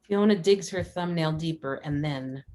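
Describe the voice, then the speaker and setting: A woman's voice: sits low, moderate pace, dark tone. A woman reading scripted stage directions as the narrator of a table read.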